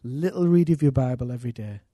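A man's voice: mid-range voice, lively intonation, quick pace, dry recording. A middle-aged man, a church pastor, introducing a guest preacher with enthusiasm and leading a prayer. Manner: warm enthusiastic introducer, cheering.

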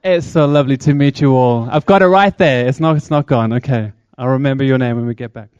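A man's voice: medium pitch, quick pace, a little mellow, clear, resonant tone, highs cut off. A man from South Africa with a South African accent, giving a chatty, engaging talk to a church congregation as a charity speaker. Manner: chatty, engaging, asks the crowd questions.

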